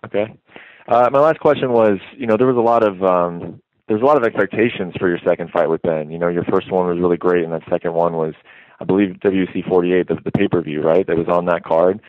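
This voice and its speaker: A man's voice: deep voice, fast talker, no room sound. A male sports reporter asking polite, rambling questions in a casual way on a phone conference call. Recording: narrowband phone conference line.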